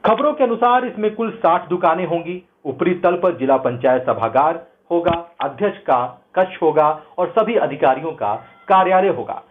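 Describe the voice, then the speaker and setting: A man's voice: on the high side, lively intonation, moderate pace, few pauses. A man hosting a news show, delivering scripted commentary in an emphatic, rhetorical way. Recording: narrow phone-like band, thin sound.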